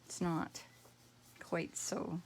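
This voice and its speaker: A middle-aged woman with a croaky voice, demonstrating card making at home and thinking aloud in a relaxed, rambling way. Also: very slow, slight background noise. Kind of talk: casual craft tutorial.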